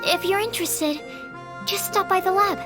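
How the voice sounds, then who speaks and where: A woman's voice: very high-pitched, moderate pace, over loud music. A woman voicing an earnest, studious young female alchemist in a video game, explaining things encouragingly. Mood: thoughtful.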